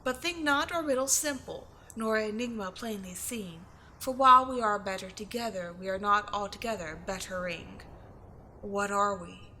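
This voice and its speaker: A woman voicing a giant female sphinx, a flirty, teasing and excitable temple guardian, in a playful, expressive audio roleplay. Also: medium pitch, moderate pace, slight background noise, natural voice, rough recording. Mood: mysterious, reciting. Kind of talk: roleplay audio acting addressed to the listener.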